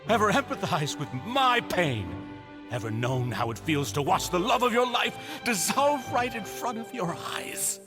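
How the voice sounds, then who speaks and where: A man's voice: sits high, big pitch swings, unhurried. A man playing a desperate, grief-maddened villain in a video game, with theatrical, anguished, unhinged delivery. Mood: anguished outrage. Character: desperate grief-maddened male villain obsessed with lost lover. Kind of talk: dramatic video game voice acting. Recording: music under the voice.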